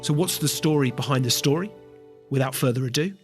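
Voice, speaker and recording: A man's voice: mid-range voice, fairly quick, over music. A man hosting a podcast interview, asking questions with frequent fillers and restarts. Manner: hesitant, many filler words and restarts. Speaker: podcast host.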